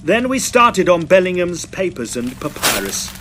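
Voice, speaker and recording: A man's voice: sits high, big pitch swings, moderate pace, noisy background. An actor playing a stern young male student, commanding and menacing, in an audio drama. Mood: grim recounting. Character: stern, righteous young male student forcing a villain at gunpoint. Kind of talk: audio drama acting and first-person narration.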